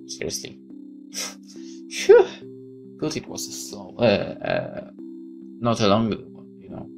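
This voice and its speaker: A man's voice: on the low side, huge pitch swings, takes it slowly, creaky, a little mellow, poor audio. A man hosting a gaming video, reading game text aloud and adding casual comments. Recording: faint game music behind the voice.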